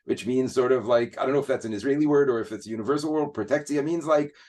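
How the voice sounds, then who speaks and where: A man's voice: medium pitch, fast, darkish tone. A man speaking as an interview guest in a casual, earnest way, stopping and restarting his sentences often. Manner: hesitant, many restarts and fillers, earnest.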